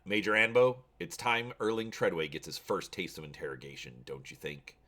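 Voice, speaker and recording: A man's voice: low-pitched, fairly even intonation, normal speed, sharp tone. A man voicing a stern, irritated and menacing military general in a storybook reading, clipped and commanding. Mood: angry, commanding.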